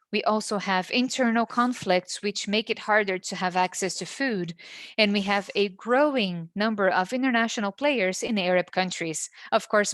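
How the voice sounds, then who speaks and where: A woman giving a formal business presentation in a steady, measured way. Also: medium pitch, no room sound. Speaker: webinar presenter.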